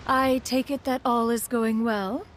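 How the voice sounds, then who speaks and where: An actress playing a dignified, composed and resolute auntie in a video game, with formal, stately phrasing. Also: female voice, a little high, steady tone, measured pace, slight background noise, lightly acted.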